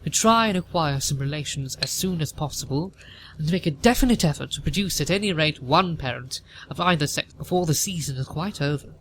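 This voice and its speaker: Man playing a haughty, sharp-voiced aristocratic older lady, noisy recording. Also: on the high side, animated, a little fast. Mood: haughty disapproval. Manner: haughty, pompous, precise. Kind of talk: audio play acting of period comedy.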